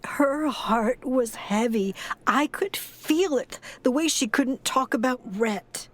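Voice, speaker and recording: Grieving elderly grandmother, audio drama: tough but frail, halting and sighing, slow, higher-pitched. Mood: sorrowful. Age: older. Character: frail, grieving, tough old grandmother and former foster mother.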